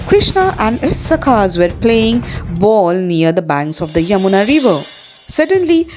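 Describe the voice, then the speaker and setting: A woman narrating a dramatized story for a student production in a scripted storytelling style. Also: medium pitch. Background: music.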